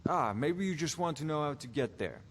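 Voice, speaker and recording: A man's voice: a little high, lively intonation, brisk, a little hiss or hum, natural voice. Man playing a pompous scholarly court wizard, faint ambience. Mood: condescending, eager. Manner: pompous, condescending, wordy and precise. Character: haughty, scholarly male court wizard obsessed with dragon research. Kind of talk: fantasy video game voice acting.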